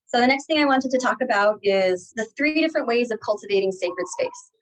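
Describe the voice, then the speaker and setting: A woman speaking as a guest guide in a meditative spiritual talk, gently and deliberately, phrase by phrase with pauses. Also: mid-range voice, low-quality recording.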